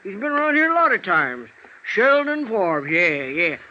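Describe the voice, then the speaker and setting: A man's voice: high-pitched, very animated, normal speed, pushed and bright, dull audio, full character voice. An actor playing a cagey, chatty old stage doorman in an old-time radio drama, hesitant and folksy, full of hems and haws. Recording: vintage radio recording, band-limited, faint noise.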